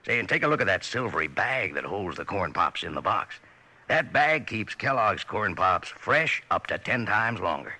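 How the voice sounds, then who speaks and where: Hearty cowboy pitchman: animated, very bright, folksy and chatty, American Western accent, vintage radio advert. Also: moderate pace, full character voice. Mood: chummy, hearty. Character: folksy, chummy male cowboy pal pitchman. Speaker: commercial pitchman. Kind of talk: 1950s radio cereal commercial.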